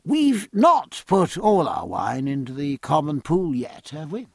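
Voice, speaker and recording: A man's voice: a little high, very animated, measured pace, creaky, a little mellow, no room sound, clean studio sound, heavily acted. A man voicing a jovial, teasing British naval captain with bluff, exclamatory, old-fashioned speech. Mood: hearty.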